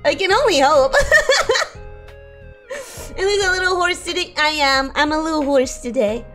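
A young woman streaming casually, her voice hoarse and ragged from sickness, giggling often as she reads chat and greets viewers. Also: high voice, moderate pace, a bit bright, faint music behind.

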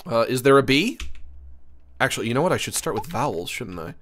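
A man's voice: medium pitch, very animated, a little fast, a bit bright, slight background noise. A man giving casual live commentary on a video game and reading its text aloud, playfully whiny when let down.

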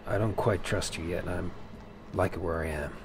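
A man roleplaying a bewildered, wary newly turned vampire over a video game, speaking in hesitant, halting phrases. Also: deep voice, creaky, noisy background. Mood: uncertain. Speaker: gameplay roleplayer. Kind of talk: improvised roleplay over gameplay.

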